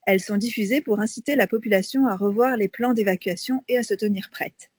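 A woman presents on a radio magazine. Her voice is husky and sits at a medium pitch. The recording is dry and close, with no noticeable room sound. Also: fairly quick, a little mellow, no echo. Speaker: radio co-host.

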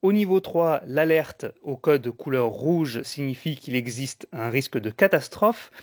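A man co-hosting a radio information magazine, explaining things in a measured, presenter-like way. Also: a little high, a little mellow, no echo, clean studio sound. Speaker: radio co-host.

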